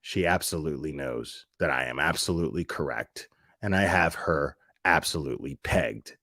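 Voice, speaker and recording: A man's voice: very deep voice, moderate pace, fairly bright tone, dry recording. Blunt man ranting casually, dry close sound. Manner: emphatic, repeats phrases for stress. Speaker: livestream commentator. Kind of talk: casual unscripted rant to live viewers.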